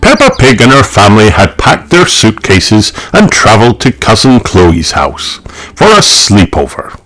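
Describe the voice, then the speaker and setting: Slow, expressive man reading a children's storybook. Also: on the low side. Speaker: audiobook narrator. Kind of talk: scripted children's storybook read-aloud.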